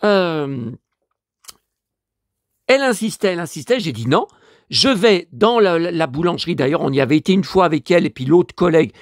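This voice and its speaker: A man's voice: on the high side, lively intonation, moderate pace, no room sound, clean studio sound. A man with a stuffy head cold, rambling through a casual vlog monologue and complaining in exasperation. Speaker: vlogger and collector.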